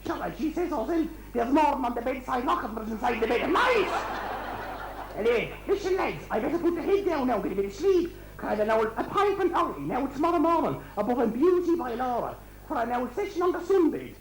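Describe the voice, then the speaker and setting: A man's voice: very high-pitched, sing-song, fairly quick, heavily acted. A man playing a gossipy, scolding Irish countrywoman in an amateur comic pantomime, rambling and full of exclamations. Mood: confiding, gossipy. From Ireland. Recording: echoey amateur stage recording.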